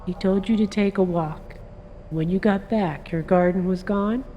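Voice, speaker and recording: An actress playing a patient female police detective who questions a suspect in a calm, coaxing, firm manner in an audio drama. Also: mid-range voice, unhurried, muffled recording, a little hiss or hum, lightly acted.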